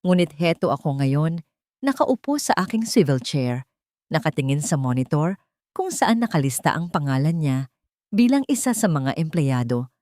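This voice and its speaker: A woman storyteller with a fairly low voice reads a scripted audio drama. Her delivery is emotive and suspenseful, with wide melodic movement. The sound is dry and close, with no room around it. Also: sing-song, moderate pace, darkish tone, no echo.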